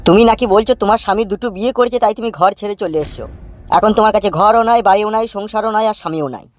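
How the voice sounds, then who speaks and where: Fast-talking village drunkard played by a man. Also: high voice, lo-fi sound, lightly acted. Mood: coaxing. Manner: coarse rural slang, wheedling reassurance. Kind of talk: comic cartoon dialogue. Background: music.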